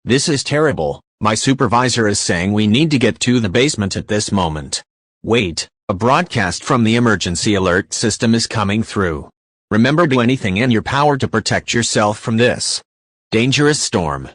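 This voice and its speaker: A man's voice: lowish voice, expressive, normal speed, a bit bright, no room sound, clean studio sound. A man reporting breaking news as an anchor, grave and urgent.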